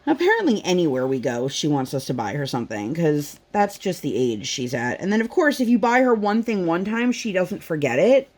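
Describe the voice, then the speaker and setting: Chatty mom with a lowish voice. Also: female voice, big pitch swings, fairly quick, few pauses, a little mellow. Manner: chatty, rambling, lots of fillers, acts out quotes. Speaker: vlogger, mother. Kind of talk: casual storytelling chat while crafting. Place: at home.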